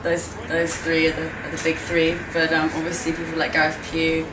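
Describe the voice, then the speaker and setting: A woman's voice: low voice, monotone, fairly quick, heavy background noise. A woman in her twenties, originally from Turkey with Turkish as her first language, talking casually and hesitantly about her gallery in an interview, with many fillers. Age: 20s. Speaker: gallery owner. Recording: echoey room, mic at a distance, music behind.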